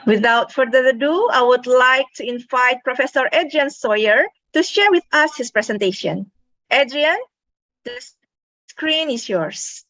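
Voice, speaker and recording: A woman with an Indonesian accent moderates an online seminar, reading out introductions in a careful, formal way. Her voice is high and very bright, and the sound is dry and close. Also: lively intonation, unhurried, dry recording, lo-fi sound. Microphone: video call.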